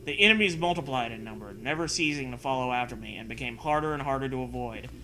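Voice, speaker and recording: A man's voice: medium pitch, lively intonation, fast, sharp tone, slight background noise, poor audio. A man reading a story aloud and breaking in with sarcastic, sweary casual commentary. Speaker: host reading and mocking fan fiction.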